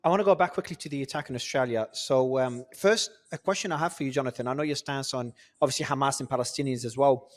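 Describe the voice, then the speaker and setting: Host: man, quick interjecting interviewer, rapid delivery. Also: mid-range voice. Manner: quick, cutting in to redirect questions. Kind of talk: interviewer asking questions.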